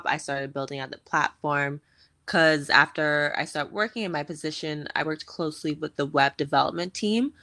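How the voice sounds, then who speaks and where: A woman in her thirties from the Bahamas talks as an interview guest, chatty and upbeat. Her voice sits low yet rings bright and forceful. The sound is dry and close. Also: no room sound. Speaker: guest, fashion tech founder. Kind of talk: casual interview conversation.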